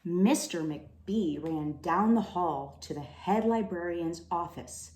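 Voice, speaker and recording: A woman reading a children's storybook aloud with expressive, dramatic delivery. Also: fairly low pitch, slow pace. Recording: roomy, echoing room sound.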